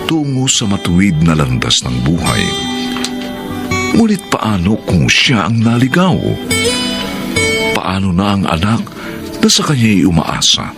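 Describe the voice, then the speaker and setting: Male narrator for radio drama: solemn, deliberate, low-pitched, over a music bed. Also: huge pitch swings.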